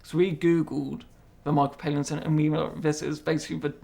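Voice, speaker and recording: A young man who stammers, being interviewed and speaking slowly and carefully, often repeating words as he goes. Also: a little high, little pitch movement, vocal fry, mellow. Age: young adult. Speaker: interviewee, apprentice. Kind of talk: interview conversation.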